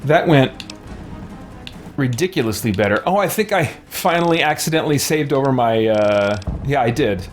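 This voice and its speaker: Animated man doing game commentary. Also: mid-range voice, moderate pace, soft background music. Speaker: host of a gaming let's play. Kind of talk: casual live game commentary.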